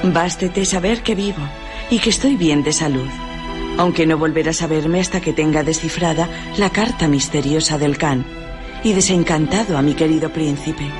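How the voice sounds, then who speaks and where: A woman acting as a young princess in love, firm and resolute. She speaks formal storybook lines in a radio drama. Music plays clearly underneath her voice. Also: low voice, moderate pace, lightly acted. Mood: resolute farewell. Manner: formal, resolute letter-reading delivery. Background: loud background music.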